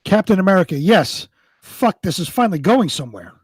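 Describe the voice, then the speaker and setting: A man's voice: high-pitched, sing-song, fairly quick, breathy edge, no echo, studio quality. A man co-hosting a casual live show, chatting and reading out narration. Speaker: show co-host and drama narrator.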